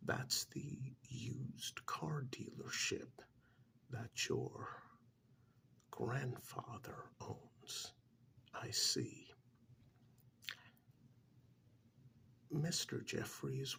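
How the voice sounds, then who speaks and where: A man playing a calm, probing couples therapist in a soft-spoken ASMR role-play, measured and deliberate with a breathy voice, close to the microphone. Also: mid-range voice, full character voice. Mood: patient, probing. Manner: measured, deliberate, pausing between short phrases.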